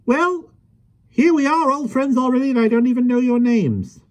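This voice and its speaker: Chatty little carpenter guy, a man putting him on, way up high, bouncy old-timey sayings, storybook read. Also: normal speed. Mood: jolly. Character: cheerful chatty little male carpenter, middle-aged. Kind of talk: storybook reading.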